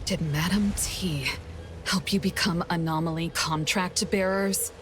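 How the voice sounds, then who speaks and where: Low-voiced, guarded woman assassin played by an actress. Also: measured pace, noisy background, close to an everyday voice. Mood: skeptical. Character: guarded, brooding female assassin. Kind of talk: game character dialogue and solemn monologue.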